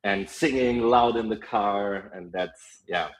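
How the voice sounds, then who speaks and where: A young man with a German accent, a podcast guest telling lively stories in casual chat, full of fillers. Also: on the low side, slow, poor audio. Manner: animated storytelling, frequent fillers and laughs.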